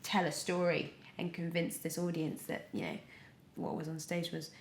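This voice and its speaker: A young British woman chatting casually as a podcast guest, telling stories in an animated way peppered with "like". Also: lowish voice, normal speed, slight background noise. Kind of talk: casual interview chat. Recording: roomy, echoey sound.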